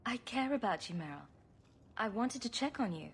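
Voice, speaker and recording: A woman's voice: a little high, expressive, normal speed, slightly rough. A woman playing a wry, caring and self-assured heroine in a video game, with a dry delivery. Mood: concerned.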